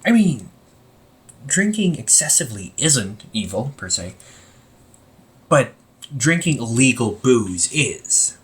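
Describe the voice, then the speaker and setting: Man acting a very husky young supervillain. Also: medium pitch, sing-song, exaggerated voice. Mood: wry, casual. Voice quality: husky, breathy. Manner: halting, earnest, conversational. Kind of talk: amateur audio roleplay, intimate one-sided dialogue to listener.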